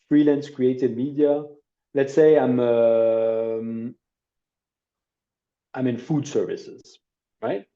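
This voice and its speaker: A middle-aged man teaching a workshop over a video call, demonstrating a service in a relaxed, conversational way with hesitations and asides. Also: medium pitch. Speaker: workshop instructor. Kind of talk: live online training demo. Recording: dull lo-fi video call audio.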